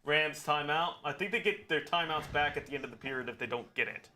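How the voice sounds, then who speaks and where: A man co-hosting a live football watch party, calmly explaining game situations and strategy. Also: a little high, brisk, soft background music. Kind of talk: casual football analysis on a livestream.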